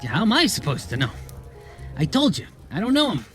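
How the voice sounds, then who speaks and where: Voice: medium pitch, huge pitch swings, a little fast, soft background music. An actor playing a shifty, streetwise male bartender with slangy wise-guy talk in a noir audio drama. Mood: evasive.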